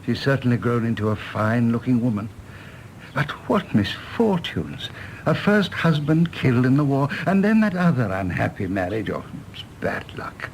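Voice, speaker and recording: A man with an English accent playing a courteous, practical estate manager in a radio drama, deferential and measured. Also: mid-range voice, sing-song, a little hiss or hum, rough recording. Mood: reflective, practical. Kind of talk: stage-play radio drama acting.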